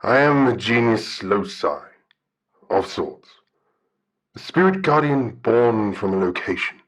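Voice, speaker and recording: An actor playing a solemn, shy, bear-like guardian spirit in an audio drama, speaking formally and hesitantly. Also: male voice, lowish voice, very animated, takes it slowly, a bit bright, exaggerated voice. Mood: formal, reserved. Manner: formal, hesitant, articulate speech. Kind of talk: audio drama radio call-in acting.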